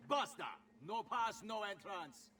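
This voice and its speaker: A man's voice: high voice, measured pace, bright, forceful tone, a little hiss or hum. A man playing an officious Venetian guard with an Italian accent in a video game. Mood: officious, angry. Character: officious, bullying Venetian gate guard, cringing before nobility. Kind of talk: video game cutscene acting.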